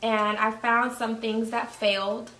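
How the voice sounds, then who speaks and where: A woman's voice: fairly high pitch, flat delivery, measured pace, bright, forceful tone. A woman in her twenties with a New York accent, hosting a candid conversation and laughing easily. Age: 20s. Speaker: host. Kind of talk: casual conversation. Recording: roomy, mic at a distance.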